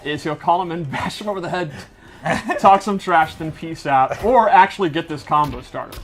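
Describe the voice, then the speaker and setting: A man's voice: a little high, normal speed. A man giving a casual, enthusiastic gameplay demonstration with slangy wording, as a game developer would.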